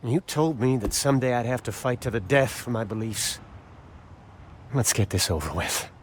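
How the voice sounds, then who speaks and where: A man's voice: medium pitch, moderate pace, slight background noise, close to an everyday voice. A man playing an earnest, weary fighter in a video game, conversational and a little wry. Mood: resolute. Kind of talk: dramatic video game cutscene acting.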